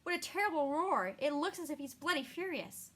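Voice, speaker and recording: A woman voicing an excitable young male bard who panics and exclaims loudly. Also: a little slow, full character voice. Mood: alarmed. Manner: shrill, exclaiming.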